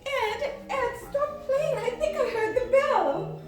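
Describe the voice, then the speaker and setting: A woman performs a gracious, fussing mother in a live radio play. Her voice is extremely high and fluttery, picked up from a distance in a heavily echoing room. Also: slow pace, faint music behind. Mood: excited, fussing. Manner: fluttery, gushing. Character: fluttery, gracious middle-aged mother, eager to please. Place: live stage performance in a large echoey room.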